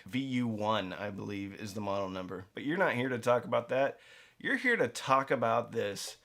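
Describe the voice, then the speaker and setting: A man's voice: medium pitch, fairly bright tone. Casual man reviewing audio gear. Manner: conversational, playful asides, emphatic stresses. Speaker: reviewer and host of a budget audio gear channel. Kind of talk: casual product review talk to camera.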